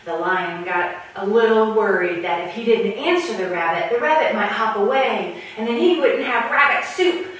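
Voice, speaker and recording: A woman telling a children's story in an animated, playful way with drawn-out emphasis. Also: medium pitch. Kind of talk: animated storytime narration with puppets. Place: echoing room, speaking to a camera. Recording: very echoey room, far from mic.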